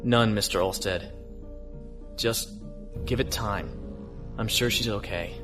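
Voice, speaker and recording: An actor playing a calm, thoughtful male starship captain, measured and understated, in a sci-fi audio drama. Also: on the low side, over music. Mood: steady.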